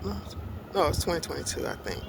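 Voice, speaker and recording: A woman's voice: sits low, sing-song, fast talker, vocal fry, background noise. A woman in her sixties telling her own story in a casual, rambling way, with many fillers and self-corrections, speaking outdoors in a park. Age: 60s. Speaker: vlogger.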